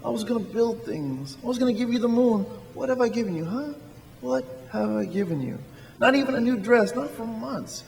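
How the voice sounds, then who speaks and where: An actor playing an earnest, anxious small-town everyman in a radio drama, talking haltingly and repeating his words. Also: extremely high pitch, animated, creaky, a little mellow, exaggerated voice. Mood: self-reproach. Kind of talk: stage radio drama acting. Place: large church hall. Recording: echoey hall with long reverb.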